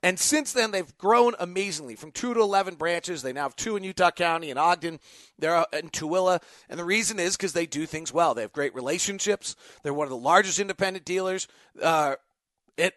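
A man's voice: high voice, a bit bright, dry recording. An American man hosting a casual live sports podcast, conversational and emphatic, giving his opinions as he goes. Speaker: host, basketball announcer and insider.

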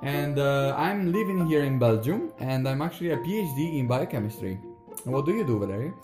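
A man's voice: medium pitch, expressive, brisk, music in the background. A young Italian man co-hosting a podcast, chatting casually with a friendly, hesitant manner and many fillers. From northeast Italy. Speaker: podcast co-host. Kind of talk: casual chat. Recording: roomy echo.

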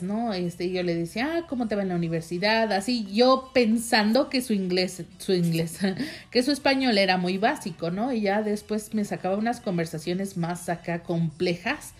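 A young woman with a Mexican accent tells a personal story in a casual, rambling way, with many fillers and asides to her viewers. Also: mid-range voice. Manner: chatty, rambling, heavy use of fillers, addresses viewers directly. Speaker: vlogger telling a personal story.

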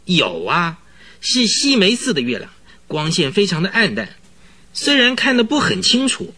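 Radio drama casting: easily spooked, chattering horse trainer, bright husky voice, dramatic storyteller. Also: male voice, sits high, huge pitch swings, normal speed, heavily acted. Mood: spooked, excited. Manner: rambling, dramatic storytelling full of interjections. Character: excitable, rambling, easily frightened male horse trainer witness.

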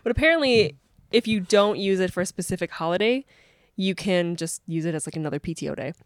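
A woman's voice: lowish voice, fast, no room sound, studio quality. A woman co-hosting a podcast in casual chat, chatty, rambling and playful. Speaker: podcast co-host.

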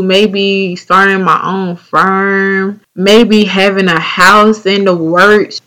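A woman's voice: medium pitch, fairly bright tone, poor audio. A young woman from North Carolina with a Southern accent, hosting a casual solo podcast in a chatty, candid, drawling way. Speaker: podcast host.

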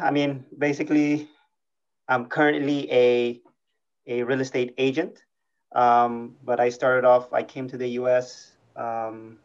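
A man from Eritrea, a guest on a podcast, speaking in a relaxed, thoughtful way and drawing out his words. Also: medium pitch. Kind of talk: casual interview. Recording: dull lo-fi audio.

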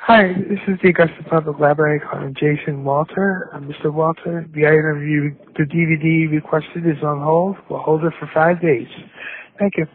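Higher-voiced man on a noisy phone. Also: steady tone, normal speed. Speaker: library staff caller. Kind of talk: formal notification voicemail. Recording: noisy, clicky narrow telephone line.

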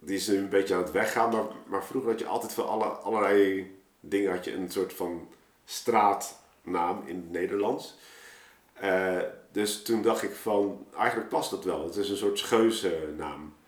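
Low-voiced man in an echoey room. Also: fairly even intonation, normal speed, far from the mic. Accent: Dutch from the Netherlands. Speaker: guest, poet and performer. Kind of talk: casual explanatory chat. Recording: echoey room, slightly off mic.

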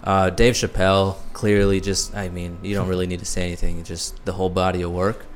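A man's voice: low-pitched, little pitch movement, fairly quick, a little hiss or hum. A man in his twenties, a guest comedian chatting easily on a casual podcast. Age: 20s.

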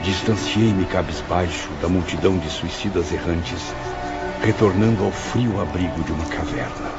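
A man's voice: sits low, moderate pace. A man narrating a radio drama in the first person, in a somber, literary manner. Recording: muffled, loud music bed.

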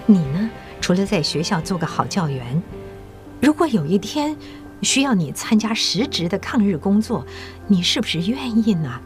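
An actress playing a middle-aged female school principal who secretly recruits agents, speaking in a measured, coaxing and kindly authoritative way in an audio drama. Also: medium pitch, big pitch swings, faint music behind, natural voice. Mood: earnest persuasion. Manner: measured, coaxing, elder's patient tone.

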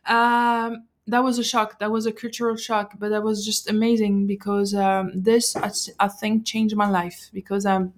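A woman with a French accent talks in a relaxed podcast conversation. She pauses, restarts and hunts for the right word, and her voice sits at an ordinary middle pitch. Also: a little hiss or hum. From French and Algerian. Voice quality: hesitant, searching for words, laughs often. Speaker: podcast guest. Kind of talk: casual chat.

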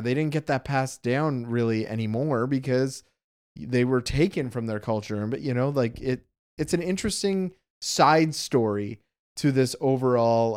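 A man's voice: mid-range voice, darkish tone, smooth. A Canadian man co-hosting a casual movie talk, reflective and rambling, with lots of "you know" and "like". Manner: reflective, rambling, many fillers. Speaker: film podcast co-host. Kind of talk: casual movie review chat.

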